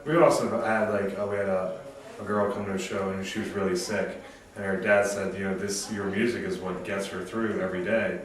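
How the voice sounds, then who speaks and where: An earnest man explains himself in an interview, his lowish voice stopping and restarting as he searches for words. He sounds far from the mic, in a strongly echoing space with a crowd murmuring behind him. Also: flat delivery, fairly quick. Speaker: band member guest. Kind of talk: casual interview chat.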